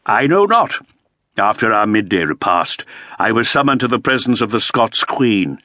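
A man's voice: lowish voice, sing-song, moderate pace, sharp tone, dry recording, studio quality, voice pushed up, exaggerated voice. A man voicing a put-upon, aging Elizabethan earl who speaks in a plaintive, complaining way. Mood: aggrieved.

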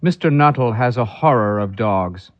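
A sweet, sly little girl, as a male narrator reads her, telling things gravely and matter-of-factly. The storybook recording is dull and old. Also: mid-range voice, lively intonation, normal speed, no room sound, close to an everyday voice.

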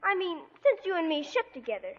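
Very high, plucky little girl. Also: very animated, fairly quick, a little hiss or hum. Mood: playful, curious. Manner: plucky, pert, affectionate. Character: spunky, devoted eleven-year-old orphan girl in a lighthouse. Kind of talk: 1940s radio acting. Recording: dull vintage radio recording.

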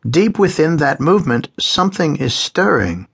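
A man's voice: fairly high pitch, big pitch swings, moderate pace, vocal fry, fairly bright tone, breathy edge, voice pushed up, exaggerated voice. A man voicing an elderly male psychiatrist, who speaks in a cold, emphatic lecturing way, raising his voice above his own. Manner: pronouncing, emphatic.

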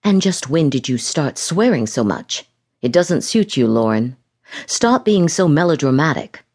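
A woman's voice: low voice, big pitch swings, a little slow, a little mellow, exaggerated voice. A woman reading the lines of an impatient, curt adult man in an audiobook romance. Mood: scolding. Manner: curt, ordering.

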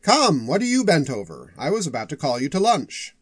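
Old male archive master for fantasy audiobook, played by a male narrator. Dry, theatrical, teasing, animated intonation. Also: on the high side, brisk, slightly rough, dry recording, full character voice. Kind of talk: audiobook character voice.

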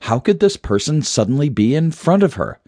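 A man's voice: medium pitch, big pitch swings, normal speed, dark tone, no room sound, studio quality, pitched above the performer's own voice. A man voicing a brave, hot-tempered young female adventurer in a storybook, defiant and exclaiming. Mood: bewildered. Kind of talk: audiobook character voice.

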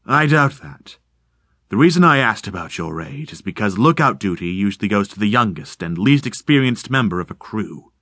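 A man reading aloud, voicing a mysterious unseen stranger in the fog who speaks slowly and formally in a deep, insubstantial voice. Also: animated, a bit bright, dry recording, studio quality. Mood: calm, menacing. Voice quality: deep and insubstantial, slow, measured.

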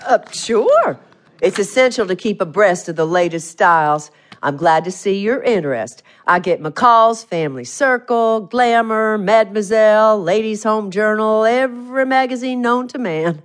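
An actress playing a proud, chatty small-town salon owner with an American Southern accent, folksy and emphatic, in an audio drama. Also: female voice, mid-range voice, normal speed, natural voice. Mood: chatty, gossipy. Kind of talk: audio theatre drama acting.

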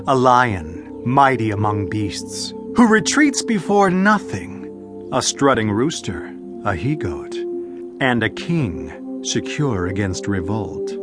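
An actor playing a solemn ancient sage, reciting scripture in a theatrical, deliberate and emphatic manner in a dramatized audio Bible. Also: male voice, medium pitch. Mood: declamatory. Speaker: Bible narrator and reader.

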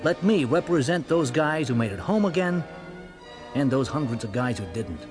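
An actor playing a plain-spoken American war veteran with an American accent, confiding colloquially in an audio drama monologue. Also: male voice, mid-range voice, expressive, normal speed, loud music behind the voice, lightly acted. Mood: wry, confiding.

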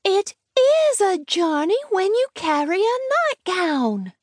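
A woman's voice: extremely high pitch, big pitch swings, slow pace, a little mellow, dry recording, studio quality, voice pushed up, heavily acted. A woman voicing an eager, wilful ten-year-old storybook girl with a small treble voice. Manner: eager, insistent.

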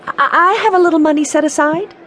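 A lovestruck young woman who speaks softly and earnestly, her voice a touch high. Faint crowd chatter stays in the background of this radio drama. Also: quick pace. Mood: hopeful. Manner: soft, earnest and pleading. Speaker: actress in audio drama.

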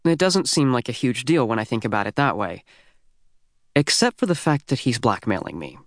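An American man reads a first-person teen novel at a middle pitch. His delivery is youthful, wry and conversational, with a very dry, close studio sound. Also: very animated, normal speed, dry recording. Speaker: audiobook narrator.

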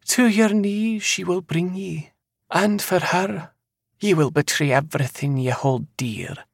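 Man voicing an eerie, high-pitched Scottish female seer. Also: gravelly, slightly rough, no echo, clean studio sound, exaggerated voice. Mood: ominous prophecy. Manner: archaic Scottish dialect, slow prophetic pronouncements. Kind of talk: dramatic audiobook character voice.

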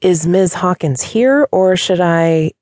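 Storybook figure: guarded, polite temp receptionist, low dark voice, read by a woman. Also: expressive, takes it slowly, dry recording, studio quality. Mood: reserved. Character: reserved woman, new temporary receptionist.

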